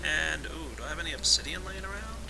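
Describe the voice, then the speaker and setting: A man with a raspy voice today, casually explaining a modded game as its let's play host, with relaxed fillers. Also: on the high side, fast, noisy background. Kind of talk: casual gameplay tutorial narration.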